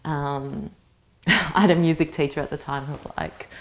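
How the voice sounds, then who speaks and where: Low-voiced woman, reflective. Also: fairly even intonation, normal speed, low-quality recording. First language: Vietnamese. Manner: thoughtful, searching for words. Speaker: interviewee, actress. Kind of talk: reflective personal interview talk.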